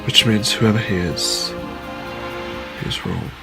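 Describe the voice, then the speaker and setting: A man acting as a grave audio drama character, declaiming slowly in a low gravelly voice. He sounds distant under loud music. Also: wildly swooping pitch, exaggerated voice. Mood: declamatory.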